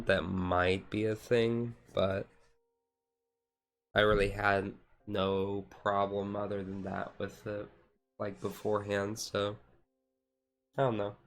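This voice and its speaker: A man streamer chatting casually in a chill, low-key livestream, rambling along with hesitant pauses. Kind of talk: casual chat, ASMR-style chill livestream.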